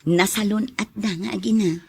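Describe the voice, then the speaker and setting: A woman with an Ilocano accent playing a loyal, concerned confidante in an audio drama, with a husky voice. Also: medium pitch, steady tone, normal speed. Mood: supportive. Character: loyal, concerned female confidante of a troubled man. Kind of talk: Ilocano radio drama acting.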